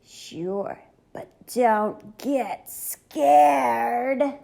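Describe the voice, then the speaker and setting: Lady doing a raspy slow monster for a kids book, slimy, cocky. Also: a little high, warm tone, exaggerated voice.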